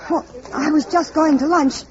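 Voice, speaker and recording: A woman's voice: sits high, fairly even intonation, normal speed, a crowd in the background, close to an everyday voice. A woman playing a concerned, doubting mother in a 1950s radio drama, with poised theatrical delivery on a muffled, noisy old recording. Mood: guarded, brisk.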